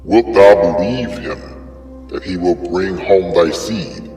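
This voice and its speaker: A man's voice: low-pitched, takes it slowly, mellow. A man giving a solemn, theatrical dramatic reading of archaic scripture, posing grand rhetorical questions. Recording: echoey long reverb over music. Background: music.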